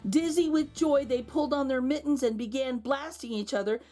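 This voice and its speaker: A woman reading a picture book aloud to children as an expressive storyteller, with exclaimed asides to her young listeners. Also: sits high, a little fast, faint music behind. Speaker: children's read-aloud host.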